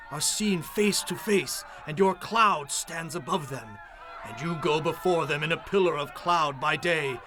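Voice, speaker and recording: A man playing an earnest prophet in a dramatized audio Bible, speaking in solemn, measured, imploring oratory. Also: fairly high pitch. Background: music.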